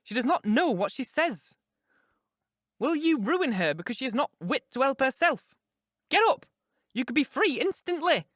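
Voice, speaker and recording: A man's voice: high voice, animated, fairly quick, fairly bright tone, no room sound, studio quality, pitched above the performer's own voice. A man voicing an agitated, outspoken female servant who scolds with urgent reproach in an audiobook drama. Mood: frantic reproach.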